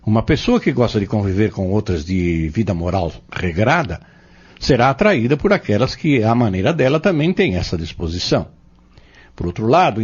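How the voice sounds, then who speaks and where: A man playing a patient, earnest Spiritist teacher in an audio drama, explaining step by step in a measured, didactic way. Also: on the low side, darkish tone, slightly rough. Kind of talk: scripted audio drama dialogue. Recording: dull lo-fi audio.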